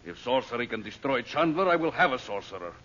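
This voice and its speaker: An actor playing a cold, ruthless crime boss in old radio drama style, commanding, clipped and contemptuous. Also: male voice, mid-range voice, big pitch swings, quick pace, fairly bright tone, highs cut off. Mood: scornful. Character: cold, imperious male villain, ruthless criminal boss. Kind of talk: 1930s-40s radio drama acting. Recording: noisy, band-limited old radio recording.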